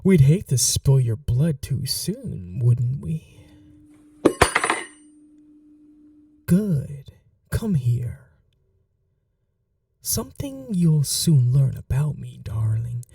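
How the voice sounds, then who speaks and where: Man acting very slow, dark-voiced possessive vampire. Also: medium pitch, very animated, vocal fry, far from the mic, a little hiss or hum, heavily acted. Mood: commanding. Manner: slow, hushed, dominant, formal endearments, often whispering. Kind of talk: intimate ASMR roleplay, scripted monologue.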